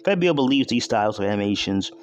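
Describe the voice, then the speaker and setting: A man's voice: on the low side, animated, fast talker. A man hosting an enthusiastic video essay, speaking in an informal, slangy and emphatic way. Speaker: host.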